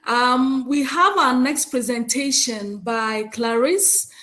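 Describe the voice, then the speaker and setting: A woman with a Ghanaian accent chairs a conference session and reads out a speaker's biography, with frequent hesitant pauses. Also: sits high, a bit bright. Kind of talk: conference moderation, reading a speaker bio.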